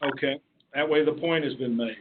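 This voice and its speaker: A man's voice: medium pitch, a little fast, slight background noise. A man talking conversationally as a participant in a conference call meeting. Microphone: telephone. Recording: tinny conference phone line.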